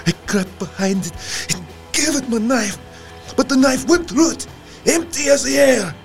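An actor playing a terrified, half-mad ship's mate in an audio drama, feverish and intense. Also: male voice, extremely high pitch, animated, unhurried, soft background music. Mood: haunted, frantic.

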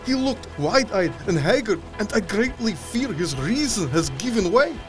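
An actor playing a weary, fearful sea captain in an audio drama, reading his log in grave, halting, clipped phrases. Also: sits high, big pitch swings, over music. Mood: despairing. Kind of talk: dramatic first-person log narration.

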